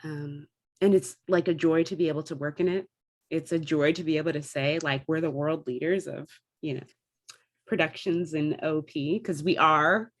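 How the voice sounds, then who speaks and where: A woman's voice: deep voice, fairly even intonation, normal speed. A woman talking casually and enthusiastically, like a theatre director thinking aloud about performance. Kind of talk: casual discussion of theatre craft.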